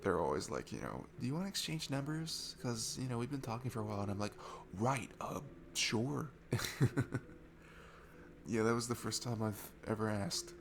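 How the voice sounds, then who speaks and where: A man's voice: medium pitch, lively intonation, normal speed, gravelly, slight background noise. A man playing a shy, sweet, nervous young guy at a bar in an intimate ASMR roleplay, stammering and hesitant. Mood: bashful, pleased. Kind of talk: ASMR roleplay audio, intimate one-sided conversation. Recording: music behind the voice. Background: music.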